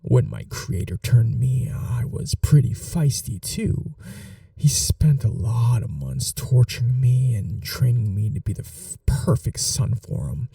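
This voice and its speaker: A man playing a possessive, controlling male vampire in an ASMR roleplay, soft-spoken and calmly menacing, slipping into whispers. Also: mid-range voice, creaky, no echo, distant mic, full character voice. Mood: tender. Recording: voice a little off-mic, dry.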